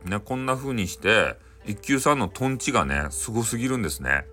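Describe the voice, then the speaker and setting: Animated low-voiced Hakata man telling folktales. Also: brisk, faint music behind. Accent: Hakata, Japan. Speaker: storyteller. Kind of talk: informal bedtime folktale storytelling.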